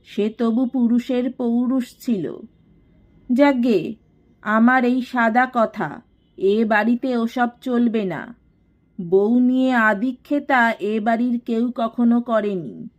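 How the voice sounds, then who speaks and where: A woman plays an imperious household matriarch from an audio storybook. She speaks high and slowly, with scolding sarcasm and domineering pride, on a slightly dull recording. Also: darkish tone. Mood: scornful, scolding. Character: haughty, scheming, domineering elder matriarch of a declining household.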